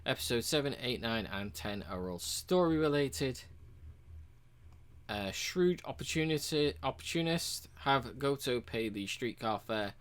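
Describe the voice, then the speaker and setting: A man casually walking through a game's achievements, relaxed and rambling, with frequent ums and uhs. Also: medium pitch, unhurried, clean tone. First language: English. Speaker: game reviewer.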